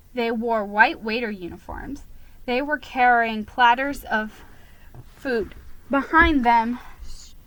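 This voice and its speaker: A woman giving a dramatic, expressive reading of a first-person children's story. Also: a little high, poor audio. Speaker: storybook reader.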